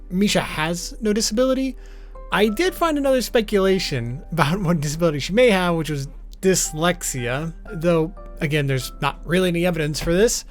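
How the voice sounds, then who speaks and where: A man hosting an informal explainer, talking in a conversational, loosely scripted way. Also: on the high side. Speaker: video host. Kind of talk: informal explainer commentary on a visual novel. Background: background music.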